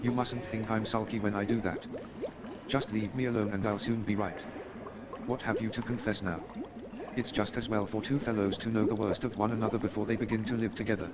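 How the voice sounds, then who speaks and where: A man's voice: on the low side, steady tone, brisk, crowd noise, lightly acted. A man playing an eager, self-assured amateur detective and chemist in a staged radio play, speaking in an emphatic, pedantic way. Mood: brisk, candid. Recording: noisy with watery background sound.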